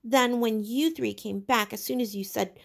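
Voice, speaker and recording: A woman voicing an indignant, frightened schoolboy in a storybook, protesting plaintively in rough colloquial grammar. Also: fairly high pitch, normal speed. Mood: indignant, defensive. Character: indignant, frightened, put-upon schoolboy, pleading to be heard.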